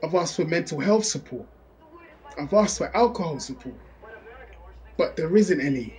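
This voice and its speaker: An actor playing a restless recalled prisoner in an audio drama monologue, plain-spoken, slangy and driven to rebuild himself. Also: male voice, on the high side, expressive, moderate pace, darkish tone, lightly acted. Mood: determined, frustrated. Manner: plain-spoken, slangy, counting through exercise sets.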